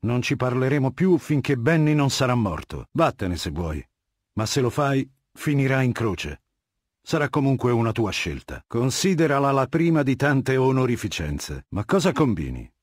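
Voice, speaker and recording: An actor playing a ruthless middle-aged warlord dictator in a video game, speaking in an imperious, measured, menacing manner with a husky voice. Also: male voice, mid-range voice, sing-song, no room sound, studio quality. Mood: commanding. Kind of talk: dubbed video game dialogue.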